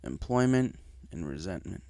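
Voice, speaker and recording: A man's voice: low-pitched, sing-song, moderate pace, gravelly, warm tone, no room sound, clean studio sound. A man reading a story aloud as a scripted narration, in the manner of an audiobook. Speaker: audiobook reader of fan fiction.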